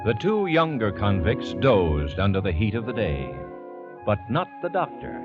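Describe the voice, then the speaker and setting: A man narrates a vintage radio drama in a theatrical storytelling style. His lowish voice swings expressively, and music plays behind him on a dull old recording. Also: huge pitch swings, unhurried.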